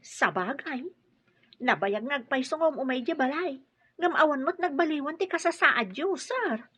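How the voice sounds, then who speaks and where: An actress playing an inquisitive household helper, questioning but respectful, in an audio drama. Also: female voice, high-pitched, lively intonation, moderate pace, fairly bright tone, lo-fi sound. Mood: doubtful.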